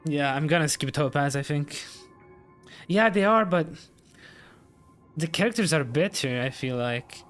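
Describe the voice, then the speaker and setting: A man's voice: on the high side, lively intonation, a little slow, pauses often, fairly bright tone. A man streaming a game and reacting casually as he plays along. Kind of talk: casual playthrough reaction chat. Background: game music.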